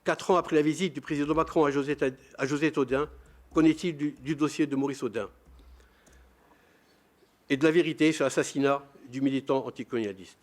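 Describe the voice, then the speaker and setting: An older man, a round-table panelist, reading a prepared formal statement in a measured, grave way, stumbling now and then on a word. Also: a little high.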